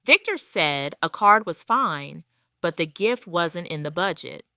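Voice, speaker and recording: A woman reading a scripted first-person story as a wronged, retired wife, in a measured, deliberate storytelling manner. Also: fairly low pitch, animated, pushed and bright, no echo, clean studio sound. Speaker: narrator. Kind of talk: scripted first-person audio drama narration.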